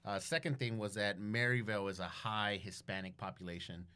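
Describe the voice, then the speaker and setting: A man with a fairly low voice talks as a podcast guest. He tells stories casually and bluntly, swears often, and slips into quoted dialogue as he goes. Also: fairly quick, clean tone. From Guatemalan background. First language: bilingual English and Spanish. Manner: profane, blunt, animated retelling with quoted dialogue. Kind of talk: casual storytelling interview.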